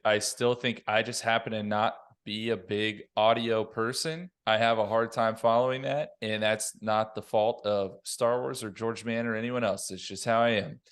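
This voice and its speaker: A man's voice: fairly low pitch, fairly bright tone, smooth. A man on a podcast panel giving his opinions in casual conversation.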